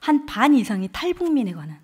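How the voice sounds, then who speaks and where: A woman's voice: fairly high pitch, fast, slightly rough. A woman in her forties giving a heartfelt testimony as a guest speaker at a church revival, telling her story with earnest conviction into a microphone. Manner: earnest storytelling, quoting others with lively emotion. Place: church sanctuary.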